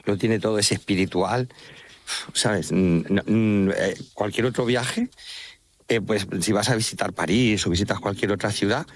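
Man, Manchegan Spanish accent, lowish pitch, enthusiastic, halting, repetitive, casual interview guest. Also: a little hiss or hum. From Castilla-La Mancha, Spain. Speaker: interview guest, walking pilgrim guide. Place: outdoors, walking along a trail by an estuary.

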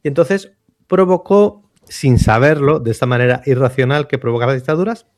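A man's voice: mid-range voice, moderate pace, darkish tone, dry recording, clean studio sound. A man from explains literature conversationally, searching for words and often checking for agreement. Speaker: literary commentator.

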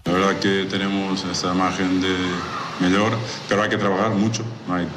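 A man's voice: low-pitched, little pitch movement, brisk. A young man from Lisbon with a Portuguese accent, a native Portuguese speaker giving unscripted player interview answers in a reverberant, noisy space. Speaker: athlete, team player. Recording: echoey reverberant hall, clear background noise.

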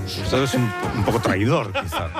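A man's voice: fairly low pitch, expressive, moderate pace. A man hosting a morning radio show, greeting guests and teasing them in casual banter. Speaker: radio host. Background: loud music bed.

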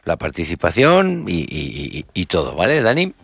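Lively male radio sports host. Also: sits low, creaky, husky, no echo. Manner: upbeat, brisk links. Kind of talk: live radio presenting.

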